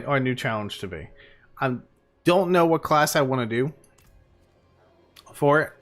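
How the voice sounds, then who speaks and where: A man hosting a live game stream at his desk mic, talking in a loose, rambling way full of fillers. His pitch jumps around a lot as he talks. He sounds tired, and his voice is starting to go. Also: a bit bright. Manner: rambling, chatty, lots of fillers. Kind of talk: casual live-stream chat.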